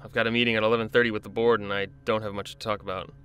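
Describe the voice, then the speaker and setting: A man's voice: lowish voice, level tone, racing, fairly bright tone, clear, resonant tone, lightly acted. A man playing a weary, preoccupied research scientist in conversational radio drama. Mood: weary, distracted.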